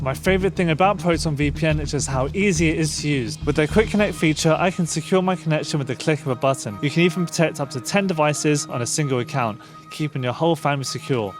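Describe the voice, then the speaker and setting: Male host voice for a scripted sponsor read. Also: a little high. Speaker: host reading a sponsor message.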